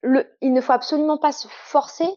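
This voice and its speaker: Higher-voiced woman, muffled dry sound. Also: level tone, a little fast, a little mellow. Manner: earnest, explanatory, frequent fillers. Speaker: sexologist guest. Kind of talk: casual interview conversation.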